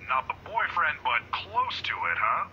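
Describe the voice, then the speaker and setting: A man's voice: on the high side, very animated, a little slow, muffled recording, very noisy. A man voicing a chatty, kindly, fumbling old security guard in visual novel game dialogue, with a hesitant, forgetful way of talking. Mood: friendly teasing. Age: older.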